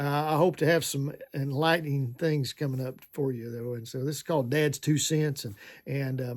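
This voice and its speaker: A man's voice: medium pitch, creaky, darkish tone. A man of fifty-nine talking casually to his viewers, rambling with lots of fillers and restarts. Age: 50s. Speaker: vlogger. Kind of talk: casual talk to camera.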